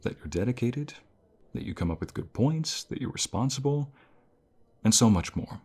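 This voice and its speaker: A man playing a caring university professor in an ASMR roleplay, speaking in a calm, reassuring and gentle manner. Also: mid-range voice, moderate pace, slightly rough. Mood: caring, encouraging. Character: caring male university professor comforting a burnt-out student. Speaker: voice actor.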